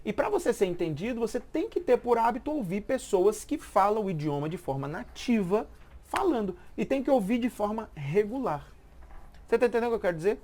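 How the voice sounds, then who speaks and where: A woman's voice: mid-range voice, big pitch swings, brisk, mellow. A woman talking casually on a live stream and explaining a point like a language teacher, repeating herself and asking if the listener follows.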